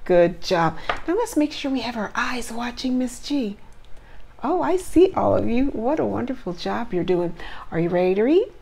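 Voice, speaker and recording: Animated woman reading aloud to preschoolers. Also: fairly high pitch, normal speed, creaky. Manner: simple encouraging questions to young children. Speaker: preschool teacher and host. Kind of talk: animated children's read-aloud storytelling.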